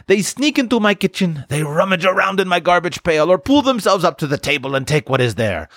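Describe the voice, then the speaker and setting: A man's voice: high-pitched, big pitch swings, a little fast, fairly bright tone, dry recording, studio quality, pitched above the performer's own voice, heavily acted. A man voicing an indignant, fussy storybook housewife who complains with disgust.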